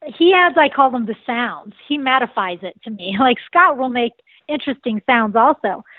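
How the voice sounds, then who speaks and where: Woman in her forties, nasal, slightly high voice, bubbly, laughs a lot, dry tinny phone line. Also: expressive, normal speed, dry recording. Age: 40s. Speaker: singer and saxophonist. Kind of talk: casual interview answers.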